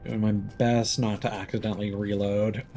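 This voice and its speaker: A man's voice: lowish voice, little pitch movement, normal speed, dark tone, a little hiss or hum. A man giving casual live commentary as he plays a game, with faint game sound behind him. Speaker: game streamer.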